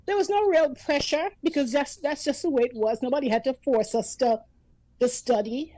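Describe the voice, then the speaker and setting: A woman's voice: high voice, moderate pace, warm tone, no room sound, poor audio. A woman, a radio guest telling stories about growing up in a chatty, storytelling manner. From Antigua. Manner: chatty, storytelling, often laughing. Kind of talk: casual reminiscing conversation.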